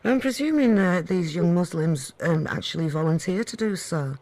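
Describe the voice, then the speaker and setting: A man hosting a conversational radio interview in a studio, putting questions and comments in an affirming, measured way. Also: high voice, animated, a little mellow, no room sound.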